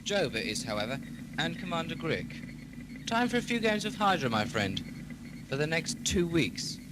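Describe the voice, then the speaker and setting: A man's voice: on the high side, very animated, normal speed, background noise. A man playing a callous, trigger-happy prison ship guard in an amateur audio drama, clipped and theatrical. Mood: jovial. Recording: loud music or effects, old cassette.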